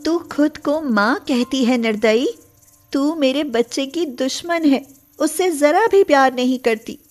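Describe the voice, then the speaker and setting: A woman voicing the gentle, consoling ghost of a mother cow in an animated moral story. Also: sits high, a little slow. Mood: reproachful. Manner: consoling, earnest.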